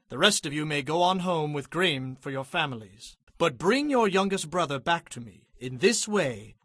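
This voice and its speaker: A man's voice: on the high side, no echo, clean studio sound. A man playing a stern, demanding governor of ancient Egypt in a dramatized audio Bible. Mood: stern, measured. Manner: forceful, demanding. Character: stern, commanding governor of Egypt, accusing and testing.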